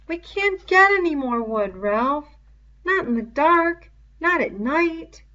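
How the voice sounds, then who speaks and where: A woman's voice: high voice, lively intonation, slow pace, bright, forceful tone, lo-fi sound, voice pushed up, heavily acted. A woman voicing an anxious, whiny young schoolboy, pleading and indignant, in a dramatized novel read-aloud. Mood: anxious protest. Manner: pleading, insistent, ungrammatical schoolboy speech.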